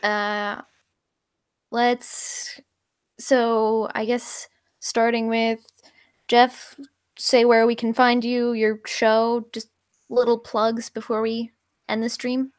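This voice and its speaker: Slow-paced, higher-voiced woman moderator. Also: flat delivery, clear, resonant tone, no echo, studio quality. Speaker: panel moderator. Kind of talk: casual hosting.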